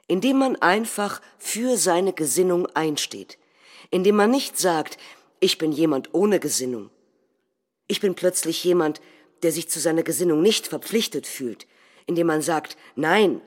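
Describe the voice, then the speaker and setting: Very low-voiced, fervent party politician. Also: female voice. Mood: proud, emphatic. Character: proud, fervent right-wing Austrian politician addressing party faithful. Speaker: actor in a political documentary theatre piece. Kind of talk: staged political speech.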